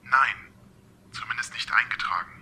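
A man's voice: mid-range voice, normal speed, sharp tone, husky. A man playing a polite, literal-minded talking car computer in an amateur radio play, formal and precise. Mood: matter-of-fact.

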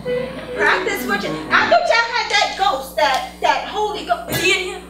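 A woman's voice: extremely high pitch, expressive, normal speed. An American woman playing a sassy, skittish young nurse in a comic gospel stage role, exclaiming in disbelief. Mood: incredulous, spooked. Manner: incredulous, exclaiming. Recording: echoey theater stage with music.

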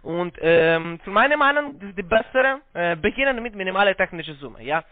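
A man with a foreign accent giving a halting, repetitive, polite phone sales pitch in broken, non-native German. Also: animated, faint music behind. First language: not German. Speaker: call center investment salesman on a scam call. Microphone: phone call. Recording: narrow-band phone line sound.

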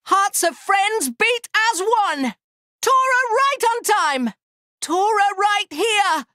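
A man's voice: very high voice, expressive, slow, sharp tone, no echo, studio quality, exaggerated voice. A man voicing a small furry creature from a video game, eager, boastful and childlike, speaking in cutesy, exclamatory broken phrases. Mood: encouraging. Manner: cutesy broken grammar, third-person self-reference, exclamatory. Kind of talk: video game battle voice lines.

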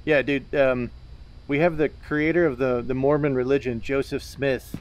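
A man's voice: medium pitch, steady tone, moderate pace, slight background noise, rough recording. A man giving casual, joking and swearing live commentary while playing a co-op horror game as a streamer. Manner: jokey, profane.

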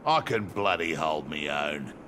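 An actor playing a cocky, sleazy mercenary crime boss in a video game, with a rough Australian accent and a taunting manner. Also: male voice, rumbling low, animated, a little slow, noisy background. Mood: sardonic. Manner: taunting, sardonic. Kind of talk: video game character banter. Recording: game audio over loud ambience and music.

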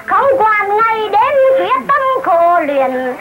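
A woman reciting poetry in a drawn-out, melodic chant on an old film soundtrack. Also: extremely high pitch, big pitch swings, very noisy. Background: loud music.

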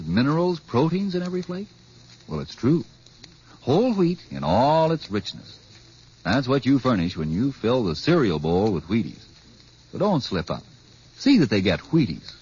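A man reads an old-time radio commercial in a very husky voice. His pitch moves animatedly as he persuades. The vintage recording is dull. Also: a little mellow. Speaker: commercial announcer. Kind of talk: 1950s radio advertising pitch.

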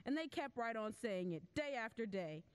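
A woman's voice: a little high, expressive, normal speed, clear, resonant tone, natural voice. A woman reading scripted announcer narration for an old-fashioned radio play. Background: loud music.

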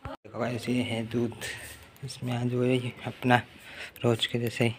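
A man's voice: medium pitch, completely flat delivery, measured pace. A young man chatting casually to his phone as a vlogger, in a handheld recording. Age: young adult. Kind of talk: casual vlog chat to camera. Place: at home, moving between rooms, rooftop and yard. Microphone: handheld phone at arm's length. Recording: noisy handheld recording with ambient sound.

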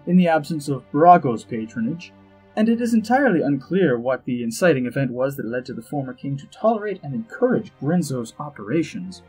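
Man acting a suave elven spymaster, slightly husky. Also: fairly high pitch, darkish tone, poor audio. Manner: measured, arch, dryly witty, eloquent. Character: suave, wry, haughty male elf spymaster and diplomat. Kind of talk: dramatic scripted monologue in a fantasy audio drama. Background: background music.

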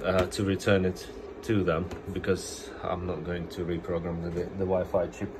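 Low-voiced male repair tech, stuffed up with a cold, walks through a bench test plainly, audible room noise. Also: level tone, moderate pace. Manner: plain, explanatory, some restarts. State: has a cold. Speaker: phone repair technician. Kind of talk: casual hands-on repair demo. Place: repair workbench indoors. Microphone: phone camera held over bench.

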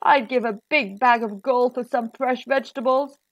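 A woman voicing a huge, grumpy but secretly kindly male giant in a children's storybook, loud and declamatory. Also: on the high side, fairly even intonation, normal speed, dry recording, highs cut off, natural voice. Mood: kindly, wistful.

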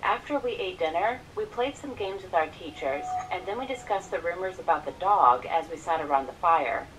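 A woman reading out a first-person story in an even, scripted narration, played back from an animated video. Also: on the low side, moderate pace. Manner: even, read-aloud delivery. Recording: distant, slightly noisy playback.

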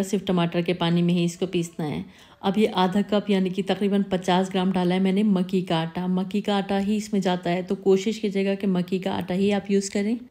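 A woman calmly narrating a step-by-step cooking recipe as the host of her channel, repeating tips patiently. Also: medium pitch.

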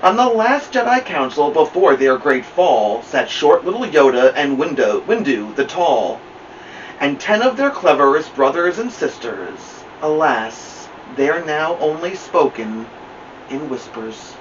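A middle-aged man reading a children's picture book aloud as a storytime host, with dramatic, deliberate delivery. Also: a little high, very animated. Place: indoor room at home, seated by a plant. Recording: muffled, noisy, distant camera mic.

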